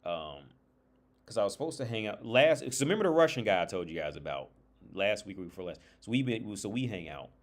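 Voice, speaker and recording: A man with a lowish voice hosts a livestream on his own. He talks loosely and informally, as if thinking aloud. His speech is peppered with swearing, and he often repeats or restarts a phrase before moving on. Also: expressive, brisk. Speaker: livestream host. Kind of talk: casual rambling livestream monologue with anecdotes.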